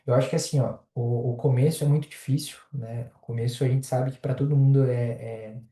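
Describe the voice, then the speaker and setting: Dark-voiced man, hesitant. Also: mid-range voice, a little fast, reverberant. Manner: relaxed, hesitant with many fillers. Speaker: podcast guest, affiliate marketer. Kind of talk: casual podcast chat.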